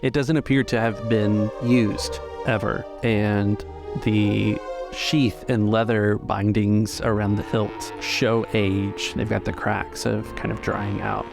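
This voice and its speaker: A man working as a game master, narrating a tabletop roleplaying game in a measured, descriptive way. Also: on the low side, creaky. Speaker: game master and podcast host.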